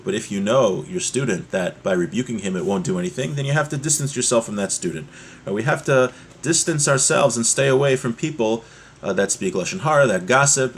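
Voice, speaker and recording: A man giving a religious lesson as a teacher, explaining a text point by point and repeating key ideas, in a husky voice. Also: fairly low pitch. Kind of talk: Torah lesson, reading Hebrew text and explaining it.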